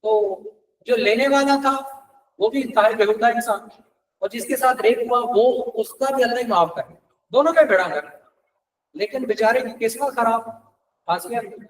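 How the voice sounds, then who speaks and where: A man with a very high-pitched voice and a Pakistani accent teaches law to a class. He sounds conversational, switching into English for legal terms and posing rhetorical questions to check understanding. The microphone picks him up from a distance. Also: moderate pace, pauses often. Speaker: law lecturer. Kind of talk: classroom lecture explaining legal articles, mixing Urdu with English terms.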